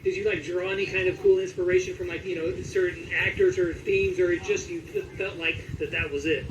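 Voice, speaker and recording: A man with a fairly high voice asks questions as a casual interviewer. He sounds away from the microphone, with faint noise behind him. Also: steady tone, brisk, lo-fi sound. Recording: distant mic with faint background noise.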